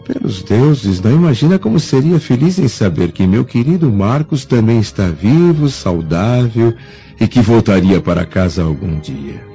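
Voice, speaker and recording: An actor playing a dignified Roman senator and father, speaking in a formal, measured radio drama manner. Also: lowish voice, very animated, dark tone. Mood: wistful, grieving. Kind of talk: theatrical radio drama acting. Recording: muffled lo-fi radio drama with music.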